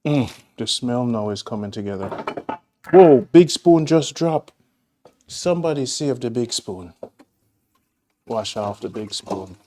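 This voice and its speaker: A Jamaican man talks his viewers through a recipe in his own kitchen, unhurried and easygoing. His voice sits at an ordinary middle pitch, and he drifts into chatty, homely asides between steps. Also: expressive, noisy background. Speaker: cooking show host. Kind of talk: casual cooking demonstration. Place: home kitchen.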